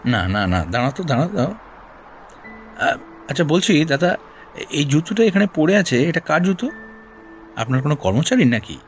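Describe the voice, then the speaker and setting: An actor playing a shrewd, persistent senior detective in an audio drama, questioning in a deliberate, probing way. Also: male voice, fairly high pitch, sing-song. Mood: curious. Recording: music bed behind voice.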